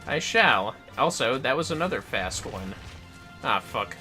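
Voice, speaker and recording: A man's voice: medium pitch, sing-song, unhurried, bright, forceful tone. A man chatting casually on a gaming livestream, sweary and playfully theatrical, with music behind him. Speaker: livestreaming gamer.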